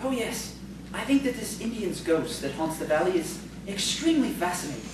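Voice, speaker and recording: A woman's voice: fairly low pitch, big pitch swings, fairly quick. Woman doing a shy polite schoolteacher man, courteous, stage drama, big echo, distant. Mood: nervous, courteous. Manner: hesitant, prim, courteous. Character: polite, timid, bookish male schoolteacher. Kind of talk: audio theatre acting. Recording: distant, echoey, noisy stage recording.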